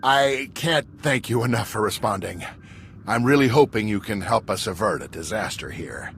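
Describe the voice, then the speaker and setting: An actor playing an earnest, easily indignant official in a sci-fi video game, speaking in an urgent, combative way. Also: male voice, lowish voice, lively intonation, normal speed, gravelly, slight background noise. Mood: earnest, worried. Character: earnest, indignant male official distrustful of corporate crooks. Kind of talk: video game cutscene acting.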